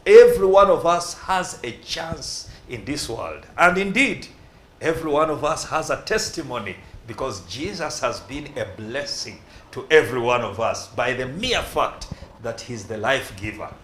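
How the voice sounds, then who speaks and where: A man's voice: medium pitch, expressive, moderate pace, a bit bright. Guy hosting a church talk show, switches between luganda and english, bit of faint room noise. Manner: mixes Luganda and English, preacherly. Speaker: host.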